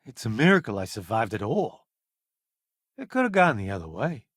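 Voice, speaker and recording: An actor playing a wry, self-promoting food columnist turned space crewmate in a video game, breezy and full of sardonic wisecracks. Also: male voice, fairly low pitch, big pitch swings, moderate pace, a bit bright, husky, dry recording, clean studio sound, exaggerated voice. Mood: aggrieved.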